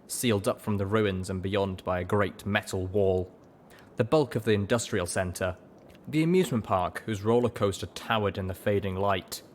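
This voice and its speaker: A man reading a scripted story as an expressive audiobook narrator. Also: on the low side, slight background noise. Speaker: audiobook reader and radio-style host.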